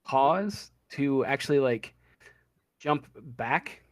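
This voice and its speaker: Halting, slow-talking game master man. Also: medium pitch, animated, no echo. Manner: halting, many ums and uhs. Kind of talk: narrating a tabletop game. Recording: online voice chat.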